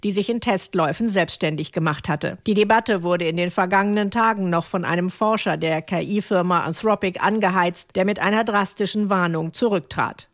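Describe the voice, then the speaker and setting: A woman correspondent delivering a measured, formal radio news report. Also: mid-range voice, dry recording, clean studio sound.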